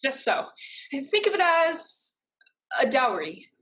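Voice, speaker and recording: A woman's voice: high-pitched, sing-song, slow pace, a bit bright, heavily acted, poor audio. A woman playing a proud, determined young woman, firm and earnest in a theatrical staged reading. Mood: firm, bargaining. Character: proud, determined young woman pirate-in-training, devoted to family.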